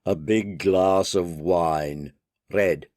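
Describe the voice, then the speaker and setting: A man's voice: sits low, drawn-out words, a little mellow, no room sound, studio quality. A man voicing a weary, good-humoured middle-aged father who grumbles and mumbles. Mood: grumbling. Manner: grumbling, mumbling, plain-spoken.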